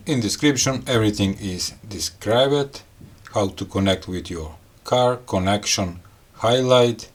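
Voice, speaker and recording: Slow, low-voiced man, casual gadget reviewer. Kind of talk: casual unboxing and product explanation.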